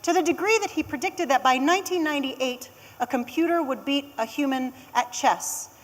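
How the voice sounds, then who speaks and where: American woman giving a wry, lively keynote. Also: high voice, normal speed, big-room sound. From United States. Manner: lively, conversational, wry asides. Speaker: conference speaker. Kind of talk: keynote talk.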